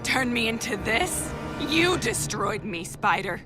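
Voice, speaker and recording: An actress playing a seductive, mocking cat burglar villainess in a video game, taunting and mock-wounded, under loud music. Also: female voice, fairly high pitch, very animated, takes it slowly. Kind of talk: dramatic video game voice acting.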